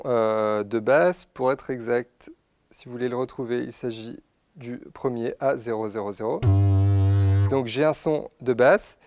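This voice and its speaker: A man giving an unscripted, methodical product demonstration, pausing to find his words as he explains each step. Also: medium pitch, darkish tone, dry recording, studio quality. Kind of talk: unscripted instructional product demo. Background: synthesizer demo sounds.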